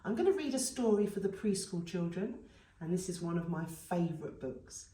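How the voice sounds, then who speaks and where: A woman tells a children's story with big, lively swings in a slightly low voice. Her delivery is unhurried and dramatic, made for young listeners. The sound is roomy and a little away from the microphone. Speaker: nursery storyteller. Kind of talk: expressive read-aloud children's story. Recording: echoey room, distant mic.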